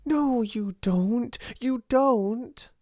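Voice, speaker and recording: A woman voicing a kind, timid, slow-witted young schoolgirl in a storybook, earnest and halting, often repeating words. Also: mid-range voice, darkish tone, no echo, clean studio sound, pitched above the performer's own voice, close to an everyday voice.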